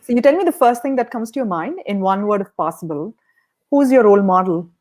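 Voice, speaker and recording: A woman's voice: medium pitch, animated, a little fast, a little mellow. A woman hosting a conversational podcast interview in an encouraging, appreciative manner.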